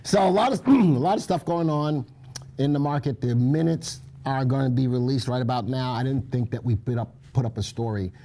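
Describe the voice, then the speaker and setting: A man's voice: medium pitch, fairly quick, warm tone. A man co-hosting a live stock market show, chatting casually and joking as he reads the viewer chat, on a muffled mic.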